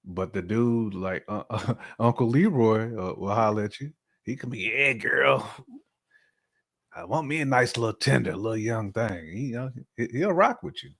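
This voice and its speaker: A man's voice: mid-range voice, animated, normal speed, vocal fry, fairly bright tone. A man co-hosting a casual talk show, giving his opinions in emphatic, slangy, conversational speech. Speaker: podcast co-host.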